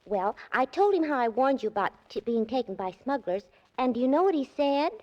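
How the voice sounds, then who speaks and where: An actress playing a naive, chatty, scatterbrained secretary with guileless comic delivery in 1940s radio style. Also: female voice, sits high, lively intonation, fairly quick, a little mellow. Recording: muffled 1940s radio, long reverb.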